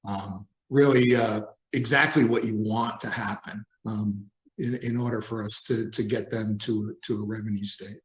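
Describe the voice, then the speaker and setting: A man speaking as a company executive, haltingly answering a question on an earnings call with roomy sound. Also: fairly low pitch, fairly even intonation. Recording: echoey, roomy call audio.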